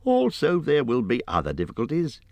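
A man voicing a small, fussy male hobbit who talks in a squeaky, hurried, apologetic way. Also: wildly swooping pitch, a little mellow, no room sound. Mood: polite.

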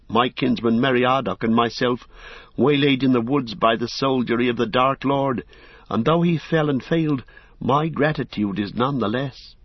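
A man's voice: husky, no echo, close to an everyday voice. A man reading an audiobook, voicing an eager young male halfling in a raised, youthful voice, earnest and proud. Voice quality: higher, youthful put-on voice. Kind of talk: audiobook character voice. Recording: dry, dull lo-fi recording.

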